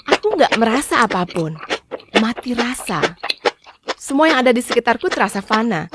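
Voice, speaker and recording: A woman's voice: fairly high pitch, sing-song, moderate pace. A woman narrating a scripted first-person story in a dramatic storytelling style. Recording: clearly noisy background with clicks.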